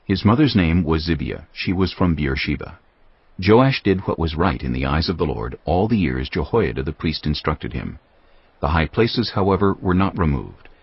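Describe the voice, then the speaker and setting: A man narrating scripture as a dramatised audio Bible, with measured, solemn storytelling delivery. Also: extremely low pitch, very animated, husky. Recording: dull, lo-fi audio with music. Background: background music.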